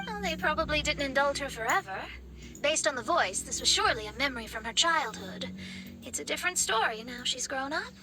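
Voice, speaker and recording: A woman's voice: sits high, expressive, fairly quick, over music, poor audio. A woman voicing a proud, theatrical young female astrologer in an anime-style video game. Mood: thoughtful. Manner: haughty, theatrical. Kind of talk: anime game character acting.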